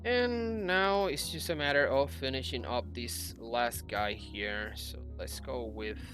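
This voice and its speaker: A man's voice: mid-range voice, slow, fairly bright tone. A young man giving casual game commentary, explaining things and thinking aloud as he plays. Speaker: gaming YouTuber. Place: indoor room at a computer. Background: game music.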